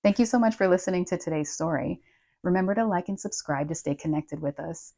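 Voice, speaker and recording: A woman's voice: lowish voice, quick pace, creaky, mellow. A woman presenting a scripted children's lesson as a speech therapist host.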